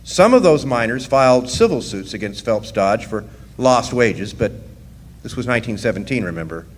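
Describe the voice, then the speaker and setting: A man's voice: mid-range voice, very animated, moderate pace. An actor playing a wry rail-riding drifter who looks back on his past as narrator of a radio play. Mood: wry, reflective. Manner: storytelling, dryly wry. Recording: live hall reverb, faint background noise.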